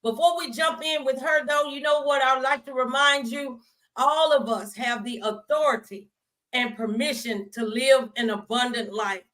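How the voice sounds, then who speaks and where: A woman with a fairly high voice hosts a talk show. She is effusive and encouraging, chatting in a colloquial, upbeat interview style. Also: moderate pace, fairly bright tone, poor audio.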